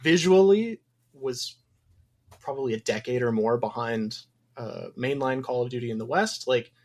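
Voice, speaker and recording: A man's voice: medium pitch, normal speed. A man from Wisconsin, a game developer guest in a casual podcast interview, talking thoughtfully with many ums. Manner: thoughtful, rambling with frequent ums.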